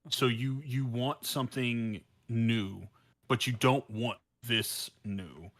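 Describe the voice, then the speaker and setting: A man's voice: medium pitch, steady tone, takes it slowly, fairly bright tone. A man co-hosting a podcast in casual, opinionated discussion, often repeating and restarting phrases with exasperated disbelief. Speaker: podcast co-host.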